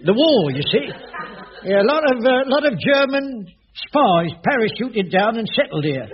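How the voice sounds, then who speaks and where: An actor playing a fussy middle-aged caretaker who stammers and hesitates in a radio sitcom. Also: male voice, very high voice, very animated, moderate pace, crowd noise. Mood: flustered. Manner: stammering, flustered, full of hesitations. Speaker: sitcom character. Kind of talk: 1980s studio radio sitcom acting. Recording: dull old radio recording.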